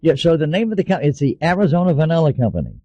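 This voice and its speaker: A man's voice: fairly high pitch, very animated, rapid-fire, warm tone, no room sound, highs cut off. A man hosting a radio interview, chatty and repeating words as he starts his sentences. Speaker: radio host.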